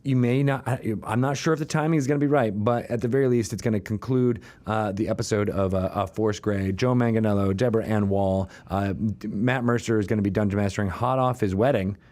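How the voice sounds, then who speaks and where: A man hosting a podcast, giving casual announcements and banter in a halting, rambling way, often correcting himself. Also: fairly low pitch, fairly even intonation, warm tone. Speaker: podcast host.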